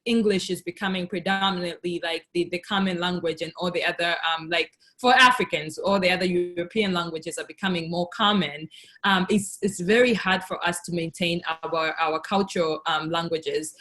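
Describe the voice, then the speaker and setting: A woman's voice: fairly low pitch, fairly even intonation, normal speed, a bit bright. Chatty young woman hosting a video call. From Tanzania. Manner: talks with many fillers and "like". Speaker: host of the virtual chat. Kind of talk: casual video call chat.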